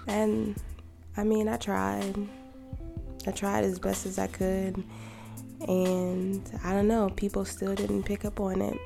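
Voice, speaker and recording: A woman in her thirties, a podcast co-host, talking calmly and reflectively in a quiet, soft voice. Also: medium pitch, takes it slowly, gravelly. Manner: calm and gentle. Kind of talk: reflective personal monologue. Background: music.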